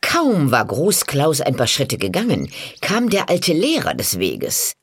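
Casting: woman narrator for fairy tales, deep husky tone, unhurried, expressive delivery. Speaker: storyteller, narrator. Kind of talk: scripted fairy-tale narration.

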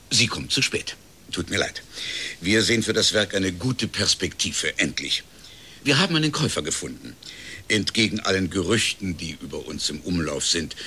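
A man's voice: deep voice, bright, forceful tone, a little hiss or hum, full character voice. A man playing a brusque, self-important agency executive in a radio crime drama, officious and clipped. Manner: officious, clipped, hesitating with polite excuses.

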